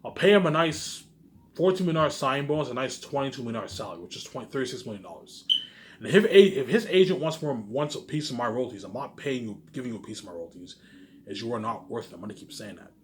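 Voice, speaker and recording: A man rattles through a casual, rambling monologue for his viewers at a rapid clip. He is self-assured and boastful, circling back over his points and trailing off into short asides. The recording has faint background noise. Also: mid-range voice, animated. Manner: repetitive, ends phrases with trailing asides. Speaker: vlogger.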